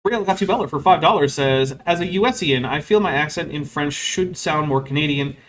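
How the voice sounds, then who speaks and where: A man's voice: a little high, a little fast, a bit bright, slight background noise. A man hosting a stream, reading super chats and commenting on a clip in a casual, wry way, filling his talk with "like" and "um". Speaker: host.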